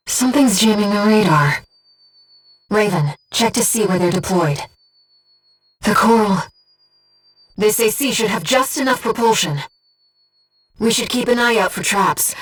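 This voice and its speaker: A woman voicing a young, gentle, bodiless female spirit in a video game, soft-spoken and precise as she guides a mercenary through missions. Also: mid-range voice, recorded from a distance, close to an everyday voice, rough recording. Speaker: mission operator companion. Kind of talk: video game voice acting, tactical radio guidance.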